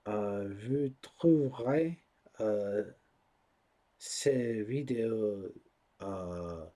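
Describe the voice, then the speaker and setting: A man speaking French as a second language, hosting his own vlog, hesitant and searching for words with many pauses and fillers. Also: on the low side, warm tone. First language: not French. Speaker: channel host. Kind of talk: casual vlog talk to camera.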